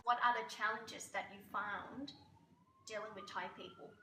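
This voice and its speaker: A woman's voice: fairly high pitch, huge pitch swings, normal speed, roomy sound, over music, rough recording. A woman interviewing a guest, asking questions casually with "like" fillers.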